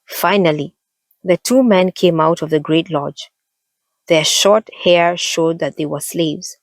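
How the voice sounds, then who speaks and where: A woman reading a children's story aloud as an expressive storyteller. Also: lowish voice, takes it slowly, no echo.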